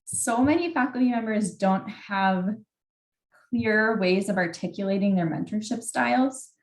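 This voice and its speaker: A woman's voice: mid-range voice, a little slow. A young adult woman giving informal advice as a graduate student mentor in a workshop, conversational and candid, often saying "like". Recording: roomy, echoey sound.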